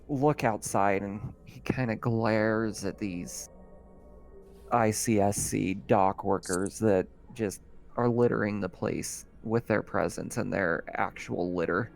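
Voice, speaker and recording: A man acting as game master, improvising narration for a tabletop roleplaying game in a halting, conversational way. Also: lowish voice, vocal fry.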